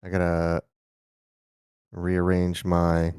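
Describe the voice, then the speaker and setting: A man's voice: sits low, completely flat delivery, extremely slow, a little mellow, clear, resonant tone, dry recording, studio quality. A man hosting a live stream, chatting casually and muttering to himself while he sets up. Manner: mumbling asides while setting up. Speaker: streamer host.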